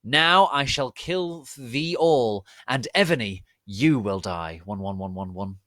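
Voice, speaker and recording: A man voicing a menacing, megalomaniac dark wizard lord who makes pompous, drawn-out archaic proclamations in a dramatic reading. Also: mid-range voice, animated, no echo, pitched above the performer's own voice. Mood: wrathful, commanding.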